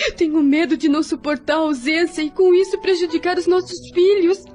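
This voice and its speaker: A woman's voice: extremely high pitch, fairly even intonation, brisk, a little mellow, soft background music. An actress playing a devoted, emotional wife and mother in an audio drama, with a Brazilian accent and an earnest, pleading manner. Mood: tearful despair. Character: devoted wife, then grieving, despairing widowed mother. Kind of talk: melodramatic audio drama acting.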